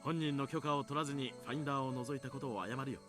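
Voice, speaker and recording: A man's voice: mid-range voice, steady tone, rapid-fire, close to an everyday voice. An actor playing an affable, apologetic adult male photographer in a Japanese anime visual novel. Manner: friendly, apologetic. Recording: music clearly behind the voice.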